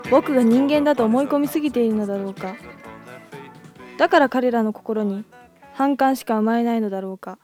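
Woman acting an earnest, high-voiced troubled boy. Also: brisk, mellow, soft background music, close to an everyday voice. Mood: brooding. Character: sensitive, troubled adolescent boy, lonely among telepaths. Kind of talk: amateur radio drama acting.

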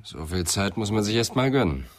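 Deep-voiced, calm police inspector. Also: male voice, brisk. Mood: probing, dry. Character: calm, probing male police inspector on a murder case. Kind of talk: German radio crime drama acting.

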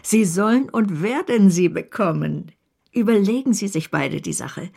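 A commanding older woman in a radio drama, with a dark, gravelly voice. She is decisive and kindhearted, speaking in a matter-of-fact way. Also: mid-range voice, animated, a little slow, full character voice. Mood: brisk generosity. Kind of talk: German radio drama acting.

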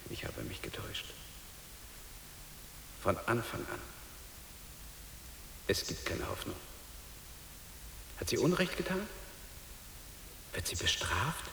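An actor playing an anguished, devoted father who clings to his faith in a radio play, moving between halting murmurs and fervour. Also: lowish voice, huge pitch swings, vocal fry, fairly bright tone, whispered, exaggerated voice. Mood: desperate. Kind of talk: dramatic radio play monologue. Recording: echoey reverberant space with hiss.

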